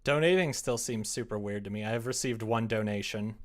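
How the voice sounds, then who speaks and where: A man's voice: lowish voice, fairly quick. A young man chatting casually and playfully as a livestreamer, reading and answering his viewers at his computer. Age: young adult. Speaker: streamer. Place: small room at home, indoors.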